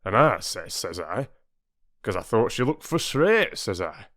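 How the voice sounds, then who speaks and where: A man voicing a gruff grown bargeman telling of his own wrongs in rough dialect. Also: on the low side, sing-song, a little slow, a bit bright, heavily acted. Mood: aggrieved. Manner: rough dialect, grumbling complaint.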